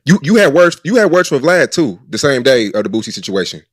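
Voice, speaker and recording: A man hosting a podcast asks loose, casual questions in a mid-pitched voice. His delivery is lively, with plenty of movement in his pitch. The sound is dry and close. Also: a little fast, slightly rough, no room sound. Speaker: podcast host.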